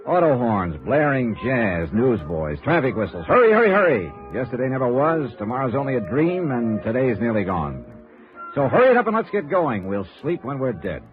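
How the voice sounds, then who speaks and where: An actor playing a tough, wry insurance investigator in 1950s radio noir, clipped and dry. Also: male voice, on the low side, very animated, moderate pace. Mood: hard-boiled narration. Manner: clipped, hard-boiled, dry. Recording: dull vintage radio recording, faint music.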